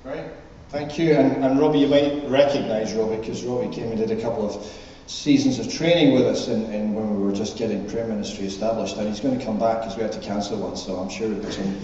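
A man's voice: on the low side, fairly even intonation, quick pace, warm tone. A man leading a church service, speaking informally to a congregation or reading scripture. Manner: chatty, off the cuff. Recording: echoey hall, distant, dull, faint noise.

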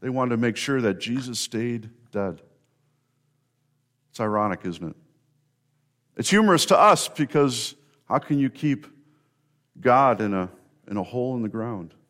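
A man's voice: mid-range voice, lively intonation, measured pace, pauses often, fairly bright tone. Earnest male pastor preaching. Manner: earnest, rising to emphatic repetition. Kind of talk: sermon.

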